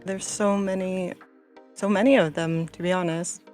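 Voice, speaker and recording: A woman's voice: fairly low pitch, steady tone, normal speed, darkish tone, faint music behind. A woman speaking as a guest in a casual, conversational chat.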